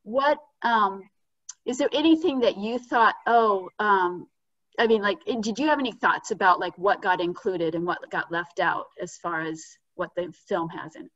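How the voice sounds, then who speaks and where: A woman's voice: medium pitch, moderate pace, fairly bright tone, dry recording. Young female moderator, hesitant and filler-heavy, dry lo-fi computer mic. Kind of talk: panel Q&A moderation. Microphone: computer mic on a video call.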